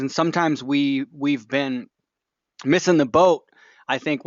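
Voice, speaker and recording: A man hosting a webinar in a casual, unscripted way, speaking haltingly and often breaking off his sentences. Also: medium pitch, a bit bright, dry recording. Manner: hesitant, halting delivery with broken-off sentences. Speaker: webinar host. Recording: dull, lo-fi webinar audio.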